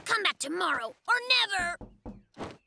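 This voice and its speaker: A performer voicing an excitable, impatient little boy bear cub in a children's cartoon, with a dramatic, pleading, exaggerated delivery. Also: very high-pitched, measured pace, gravelly, slight background noise, heavily acted. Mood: crushed disappointment. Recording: loud children's music behind.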